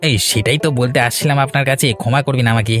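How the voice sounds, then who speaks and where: Man doing a fast-talking scheming servant. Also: mid-range voice, steady tone, fairly bright tone, soft background music, lightly acted. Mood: fawning. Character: scheming greedy servant man, fawning and bullying. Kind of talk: cartoon voice acting.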